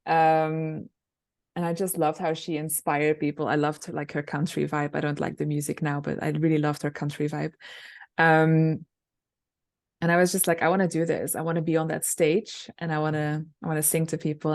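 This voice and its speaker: Young woman, low alto voice, deep for a woman, chatty with ums, video call interview. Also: little pitch movement, normal speed, darkish tone. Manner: chatty, many fillers and restarts. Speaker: singer and songwriter, interview guest. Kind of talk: casual interview answers.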